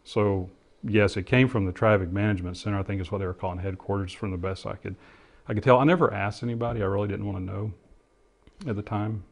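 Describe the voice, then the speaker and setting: A middle-aged man giving interview testimony in a halting, reflective way as he explains what he remembers. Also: on the low side, creaky. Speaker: interviewee, former air traffic controller and pilot.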